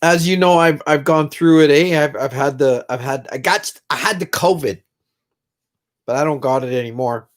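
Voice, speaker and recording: A man's voice: fairly high pitch, normal speed. A middle-aged man who is congested, rambling casually on a live stream from his own room and greeting viewers by name. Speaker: livestream host.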